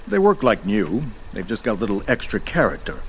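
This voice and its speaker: An ancient, godlike male being from an audio drama, amused and theatrical. His phrases swoop widely in pitch, urbane and learned, with wry courtesy. Faint ambience sits behind the voice. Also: huge pitch swings, fairly quick, fairly bright tone, a little hiss or hum, exaggerated voice, rough recording. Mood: lecturing, playful. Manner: theatrical, erudite, wryly polite.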